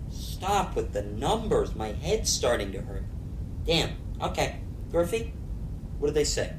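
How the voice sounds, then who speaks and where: A man as an animated, easygoing space captain. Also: a little slow. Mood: befuddled. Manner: casual, mildly befuddled. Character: easygoing, slightly clueless male spaceship captain. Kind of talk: comedy sci-fi audio drama acting. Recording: roomy, noisy audio with rumble.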